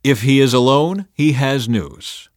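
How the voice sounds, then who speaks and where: A man's voice: mid-range voice, a little slow, no echo, studio quality, lightly acted. A man voicing a proud, emotional old king of ancient Israel, solemn and emphatic, in a scripture audiobook.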